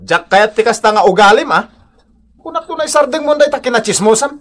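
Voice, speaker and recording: Stern, animated old husband. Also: male voice, extremely high pitch, brisk. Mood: exasperated. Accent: northern Philippine. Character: stern old husband scolding his gossiping wife. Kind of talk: comedy radio drama acting.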